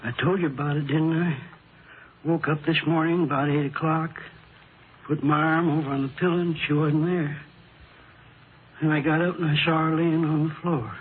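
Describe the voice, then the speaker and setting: A man's voice: fairly high pitch, flat delivery, lightly acted. Man playing a grieving old widower, thin radio sound. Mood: grieving, bewildered. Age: older. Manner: halting, plaintive, broken up. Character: grieving elderly widower, dazed, tired and halting. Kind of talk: 1940s-50s radio drama acting. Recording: noisy, phone-like old radio recording.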